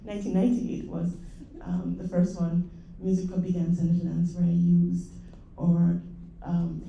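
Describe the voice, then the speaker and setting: A woman's voice: fairly low pitch, creaky, warm tone, a little hiss or hum. A middle-aged woman from Jamaica with a Jamaican accent, giving a conversational conference panel talk with fillers. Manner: conversational, with fillers and small laughs. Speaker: panel speaker, assistant professor. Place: conference room. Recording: dull roomy hall sound.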